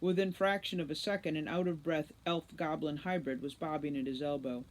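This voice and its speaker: A woman reads a storybook aloud with expressive delivery. Her voice sits on the low side, and she tells the tale like someone reading to listeners. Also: a little fast, rough recording. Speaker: audiobook reader, teacher.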